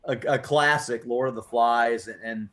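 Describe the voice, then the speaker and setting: A man's voice: mid-range voice, steady tone, moderate pace, a bit bright. Earnest American man in casual discussion. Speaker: poet and radio show host. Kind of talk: casual reflective conversation.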